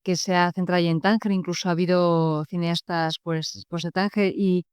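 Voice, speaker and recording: A male radio interviewer with a high, smooth and crisp voice. He asks questions casually, recorded dry in a studio. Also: flat delivery, moderate pace, no echo.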